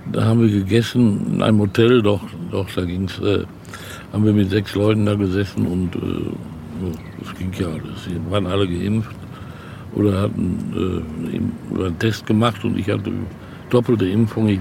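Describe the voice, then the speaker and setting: Older man with a gravelly voice. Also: lowish voice, steady tone, moderate pace, background noise. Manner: rambling, chatty storytelling. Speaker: interview guest, novelist. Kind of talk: casual interview chat.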